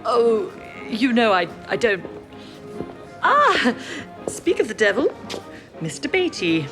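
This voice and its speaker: A woman's voice: a little high, sing-song, slow pace, over music. A woman playing a level-headed, wry wartime statistician, dry and composed in a period radio drama. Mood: reluctant.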